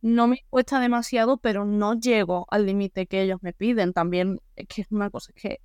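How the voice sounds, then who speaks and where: A woman's voice: mid-range voice, fairly even intonation, a little fast, darkish tone, clean tone, dry recording, clean studio sound. A young woman with a southern Spanish accent from Ceuta, a podcast guest talking frankly and casually, full of fillers. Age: young adult. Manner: frank, talkative, lots of fillers.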